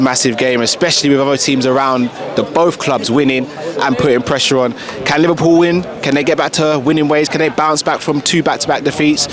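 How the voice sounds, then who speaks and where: A man presenting a matchday vlog and interviewing fans as the host. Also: mid-range voice. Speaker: fan channel host and interviewer. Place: outdoors outside a football stadium. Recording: loud street crowd and traffic noise.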